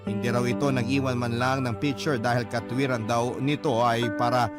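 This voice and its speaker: A Filipino man narrates a radio drama in the first person from a script. His voice is mid-pitched, with music clearly playing behind him. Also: fairly even intonation, normal speed.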